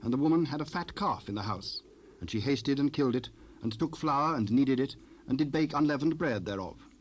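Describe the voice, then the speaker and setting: A man narrating scripture from a script in a measured, solemn manner. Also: medium pitch, expressive. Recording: faintly noisy 1970s cassette rip.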